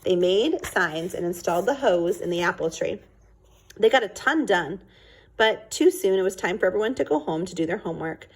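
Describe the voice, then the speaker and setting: A woman reading a children's book aloud in an expressive storytelling style. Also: lowish voice, normal speed, husky, low-quality recording. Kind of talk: expressive read-aloud narration.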